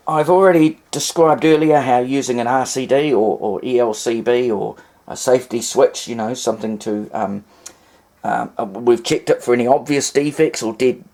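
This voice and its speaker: A man talks through a hands-on demonstration in a casual way. He rambles and often hesitates. His voice sits at a medium pitch. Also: a little mellow. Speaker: vintage radio hobbyist presenter.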